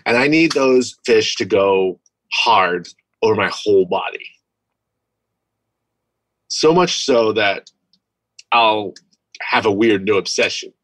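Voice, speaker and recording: Casting: man, lowish voice, deadpan goofball co-host, dry close sound. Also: takes it slowly, pauses often, no room sound, rough recording. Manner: goofy, deadpan jokes. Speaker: podcast co-host. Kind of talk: casual chat.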